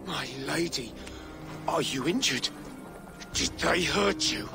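An actor playing a cornered, bitter older king who issues slow, menacing threats in a video game cutscene. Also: a little high, lively intonation, gravelly, over music, full character voice. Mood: tender. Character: cornered old Mercian king, bitter and defiant. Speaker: game character.

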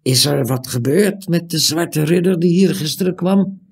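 A man voicing a curious tavern guest who asks questions in a raised, husky voice. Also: on the high side, moderate pace, voice pushed up.

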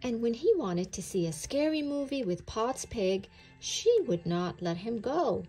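A woman reading a children's story aloud in an expressive, deliberate way. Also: on the high side, husky, soft background music.

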